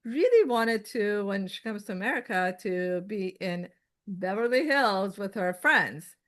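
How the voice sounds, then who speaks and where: A woman's voice: mid-range voice, lively intonation, unhurried, a bit bright. Persian-accented woman in her fifties. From Iran. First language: Farsi. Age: 50s. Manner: rambling storyteller, many fillers and restarts. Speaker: guest. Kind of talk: casual interview chat.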